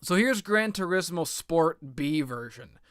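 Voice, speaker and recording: A man with a slightly high, bright voice hosts a rambling comedic show about video games. He is sarcastic and melodramatic, putting himself down as he goes. The sound is clean and dry. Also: animated, measured pace, clean tone, no echo. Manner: self-deprecating, melodramatic, sarcastic, swearing. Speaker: video game commentator, series host. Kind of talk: rambling comedic game commentary.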